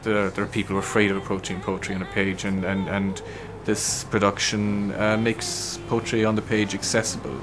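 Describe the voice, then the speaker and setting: A man's voice: fairly low pitch, flat delivery, brisk, hardly any pauses. A man giving an earnest documentary interview, often restarting his sentences.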